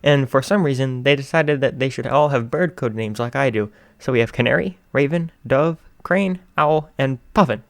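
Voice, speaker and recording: A man's voice: mid-range voice, moderate pace, creaky, hardly any pauses, no echo, pitched above the performer's own voice, close to an everyday voice. A man reading aloud, voicing a shy teenage boy who stammers nervously.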